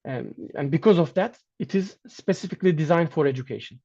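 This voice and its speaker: Man, Turkish native speaker, academic lecture, measured and hesitant, muffled audio. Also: a little high, lively intonation, creaky, dark tone, breathy edge. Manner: measured, with frequent restarts and fillers. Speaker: guest lecturer. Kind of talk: online academic lecture with slides.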